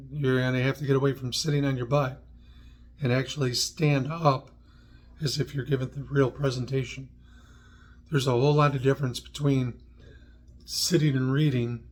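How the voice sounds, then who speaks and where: An American man from New York speaks as the presenter of an instructional video. His voice sits at a medium pitch. He talks conversationally in short, clipped phrases, dropping in dry asides as he explains. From New York, United States. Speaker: presenter of a how-to video series on public speaking. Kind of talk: instructional talk to camera.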